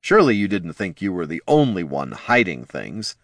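A man's voice: low voice, wildly swooping pitch, moderate pace, no room sound, clean studio sound, exaggerated voice. A man voicing a cold, condescending male wizard with a clipped, withering manner in a fantasy audiobook. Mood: terse. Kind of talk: fantasy audiobook character dialogue.

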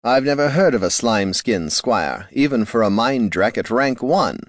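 A man's voice: medium pitch, lively intonation, normal speed, no room sound, clean studio sound, voice pushed up, close to an everyday voice. A man voicing a sneering male elf guard captain who talks down to people, in a fantasy audiobook. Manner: mocking and dismissive.

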